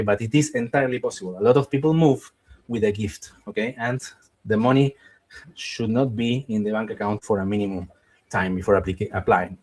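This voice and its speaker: A man's voice: mid-range voice, breathy edge. Man explaining. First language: Spanish. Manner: explanatory, keeps checking in with "okay?"; cautious, qualifies advice with "in my opinion". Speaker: visa webinar host. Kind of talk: live webinar Q&A.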